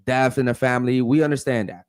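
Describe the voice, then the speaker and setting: A man hosting a podcast, talking loose and hyped, swearing freely. His voice is dry and close, with no room echo. He sits at a middle pitch. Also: steady tone, fast talker, darkish tone. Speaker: podcast host. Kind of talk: casual podcast chat.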